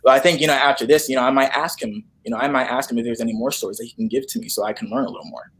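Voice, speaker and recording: A young man with a medium-pitched voice talks casually as an interview guest. He repeats words and phrases for emphasis. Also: fairly even intonation, very fast, a bit bright, low-quality recording. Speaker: guest, actor.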